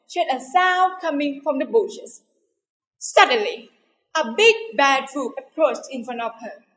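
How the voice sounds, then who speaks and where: A woman with a very high voice tells a storybook tale. She is a native Thai speaker and a student, narrating dramatically. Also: fairly bright tone, rough recording.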